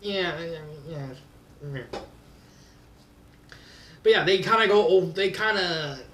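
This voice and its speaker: A man's voice: high-pitched, slow pace, a bit bright, slight background noise. A man giving a casual, rambling spoken review in an exasperated, emphatic way. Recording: roomy echo, distant from mic.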